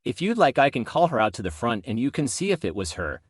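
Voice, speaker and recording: A man voicing a composed, unbothered female store manager in a story, deadpan and matter-of-fact. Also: mid-range voice, quick pace, slightly rough, no room sound, studio quality. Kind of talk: acted story dialogue.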